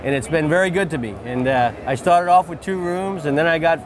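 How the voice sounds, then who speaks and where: A man's voice: on the high side, fairly quick. Enthusiastic man telling lodge stories. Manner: enthusiastic, storytelling, repeats phrases. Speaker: fishing lodge owner and outfitter. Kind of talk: trade-show interview. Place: busy convention hall booth, indoors. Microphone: lapel mic. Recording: crowd chatter behind.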